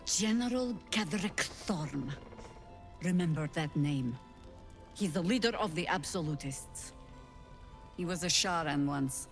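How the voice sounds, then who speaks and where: An actress playing a battle-worn, wary elder druid leader in a video game, speaking in a measured, wry, grave way. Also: female voice, sits low, animated, faint music behind. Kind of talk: dramatic video game dialogue.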